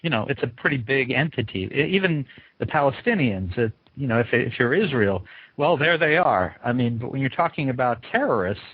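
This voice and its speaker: A man's voice: mid-range voice, lively intonation, fairly quick, husky. An American man hosting an interview, asking questions in an informal, rambling way as he thinks aloud. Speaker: interviewer, show host. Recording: thin, dry phone-like sound.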